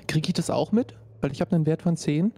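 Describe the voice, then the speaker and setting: Mellow-voiced man in casual game chat. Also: fairly high pitch, quick pace, husky. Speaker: tabletop game player.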